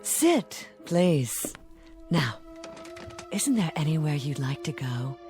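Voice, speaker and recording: An actress playing a suave devil posing as a travel agent in 1960s television drama, speaking with poised, formal precision and cool teasing. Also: female voice, deep voice, big pitch swings, slow, heavily acted. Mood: coaxing, knowing. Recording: old TV soundtrack with background music.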